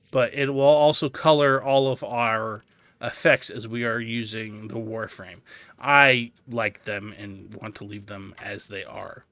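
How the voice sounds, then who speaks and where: Male gameplay guide host, mid-pitched and bright, casual, explanatory, thinks aloud. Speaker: video game tutorial host. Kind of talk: casual gameplay walkthrough commentary.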